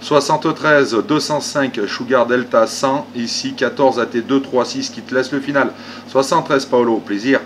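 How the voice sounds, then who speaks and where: A man's voice: mid-range voice, fairly even intonation, normal speed, background noise. A man talking casually as an amateur radio operator, in a polite, repetitive and hesitant way. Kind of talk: casual ham radio conversation.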